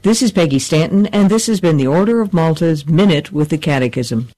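A woman presenting a short scripted catechism segment in a measured, even reading. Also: low-pitched, music in the background.

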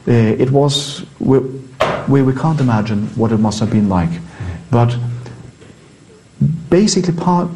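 A male priest with a Norwegian accent and a very mellow, relaxed voice. He talks in an interview, explaining in a conversational way and often stopping to rephrase. His pitch sits in an ordinary male range. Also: low-quality recording. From Norway.

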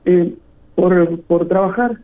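A middle-aged man with a northern Argentine accent, giving halting testimony as a guest caller over the phone. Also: high voice. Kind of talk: personal testimony in an interview. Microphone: phone call. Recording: tinny phone line, muffled and rough.

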